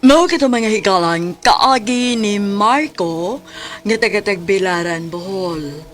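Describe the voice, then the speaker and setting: A woman's voice: medium pitch, slow, music in the background. A woman radio host with a Cebuano accent, introducing a dedication in scripted narration.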